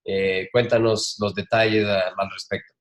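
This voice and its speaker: A man hosting a podcast, casually prompting his guest with gentle questions. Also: lowish voice, flat delivery, a little slow. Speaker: podcast host.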